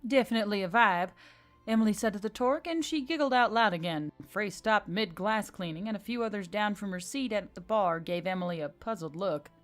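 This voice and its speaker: A woman's voice: medium pitch, a little fast, few pauses, faint music behind. A woman reading scripted fiction as an audiobook narrator.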